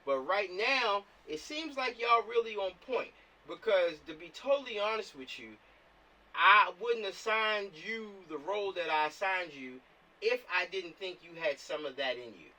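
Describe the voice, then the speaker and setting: Man, film director, bright higher-pitched voice, encouraging, emphatic, casual, video call. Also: animated, unhurried. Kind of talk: casual pep talk on a group video call. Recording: distant video-call microphone.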